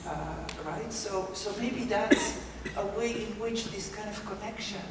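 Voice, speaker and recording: A woman's voice: mid-range voice, measured pace. Belgian-born woman in discussion, reflective, captured from a distance in a reverberant space. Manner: thoughtful, repetitive restarts. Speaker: discussion participant. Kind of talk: spontaneous discussion. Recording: echoey hall, distant mic, background noise.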